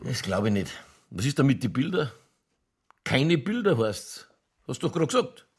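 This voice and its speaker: A raspy Bavarian man, bossy and blunt. He speaks colloquially with an animated, varied melody, handing out orders like someone used to being obeyed. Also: medium pitch, normal speed. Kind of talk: German radio crime drama acting.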